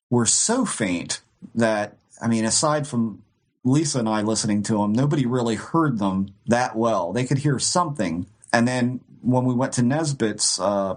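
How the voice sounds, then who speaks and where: A man in a casual podcast chat, telling a long story at an ordinary pitch. He rambles and restarts his sentences, laughing now and then as he goes. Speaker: co-host.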